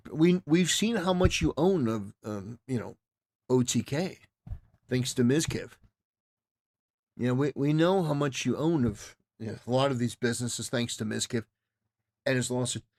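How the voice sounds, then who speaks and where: A man's voice: medium pitch, gravelly, a little mellow. A man giving casual, opinionated livestream commentary in an emphatic, repetitive way, with stop-start phrasing. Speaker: livestreamer reacting to a clip.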